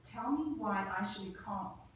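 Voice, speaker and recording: A woman's voice: medium pitch, expressive, unhurried, far from the mic, close to an everyday voice. An actress reading a curt, haughty young woman scientist at a table read. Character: proud, prickly young woman scientist Eve. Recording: echoey room, mic from afar, faint noise.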